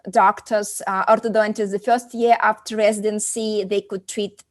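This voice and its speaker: A woman with a Russian accent speaks as a guest on an interview show. Her voice is of average pitch for a woman. She explains in a conversational, halting way, often restarting and rewording her phrases. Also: level tone. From Moscow, Russia. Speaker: interview guest, company founder and doctor.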